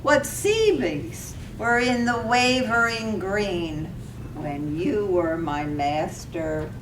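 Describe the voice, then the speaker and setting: Very slow, wistful ghostly woman played by an actress. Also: animated. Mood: longing. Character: dead sweetheart, tender, wistful ghost of a woman. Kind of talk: staged reading of a poetic radio drama. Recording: roomy, distant mic, faint rumbling noise.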